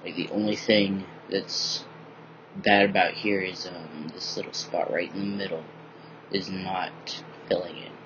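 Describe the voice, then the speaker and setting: A young man vlogging in a bright room at home, rambling casually with lots of ums, a little groggy from just waking up. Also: low voice, measured pace, noisy background. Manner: rambling, many ums, relaxed and candid. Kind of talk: casual personal video diary. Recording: dull lo-fi webcam audio.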